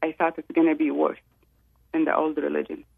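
Gravelly woman on a tinny phone line. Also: low voice, completely flat delivery, a little fast, dry recording. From Saudi Arabia. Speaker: call-in guest. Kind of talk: personal testimony.

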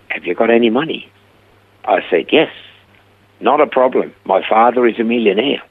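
Older Swiss man, rough, low voice, a guest acting out his own stories on radio. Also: phone-quality sound. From Switzerland. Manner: animated storyteller acting out quoted dialogue. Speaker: guest telling his life story. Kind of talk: casual storytelling interview.

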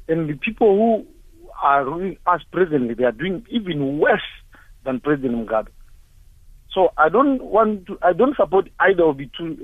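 A man's voice: sits high, no echo. Impassioned man on a tinny phone line. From Zimbabwe. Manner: impassioned, angry, repetitive rhetorical questions. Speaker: caller. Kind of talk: emotional call-in comments.

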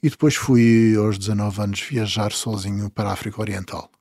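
A man in his fifties from the Azores with a European Portuguese accent, telling travel stories as an interview guest, chuckling and letting his sentences trail off softly. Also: lowish voice, steady tone, brisk, no echo, clean studio sound. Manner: storytelling, chuckling, trailing off quietly. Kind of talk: relaxed interview conversation.

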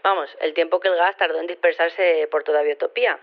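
Female scientist caller: lower register, apologetic, understated, hesitant, slightly muffled telephone line. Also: steady tone. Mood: reassuring. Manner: halting, casual, understated. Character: normal-sized female scientist, apologetic, casual, sheepish. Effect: telephone filter. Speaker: phone-in caller. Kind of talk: Spanish audio fiction radio phone-in. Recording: slightly dull telephone sound.

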